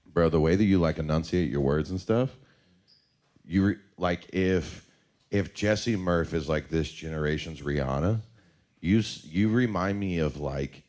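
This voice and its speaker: Young male co-host, deep low voice, loose earnest rambling feedback. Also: a little slow, darkish tone. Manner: earnest, rambling, lots of fillers. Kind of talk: casual feedback chat.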